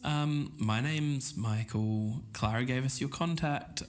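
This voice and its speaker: A man's voice: mid-range voice, slow, close to an everyday voice. An actor playing a polite, slightly hesitant man who hosts an investigative podcast in an audio drama. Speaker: podcast host.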